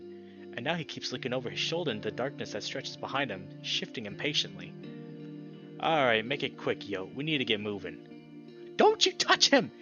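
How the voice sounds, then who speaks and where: A man's voice: mid-range voice, bright, forceful tone, highs cut off. A young man reading a visual novel aloud as a dramatic story narration for a let's play. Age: young adult.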